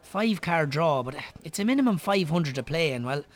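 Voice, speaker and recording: Guy playing irish barman, bit high voiced, teasing but careful, very lively intonation. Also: fairly quick, lightly acted. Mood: cautious. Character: teasing but cautious Irish pub landlord. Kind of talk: naturalistic radio drama acting.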